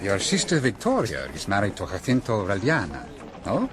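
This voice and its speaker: An actor playing a cool, wry Spanish guerrilla leader with a Spanish accent in a radio drama. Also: male voice, lowish voice, huge pitch swings, a little fast, noisy background. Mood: wry, guarded. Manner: wry, cool, matter-of-fact.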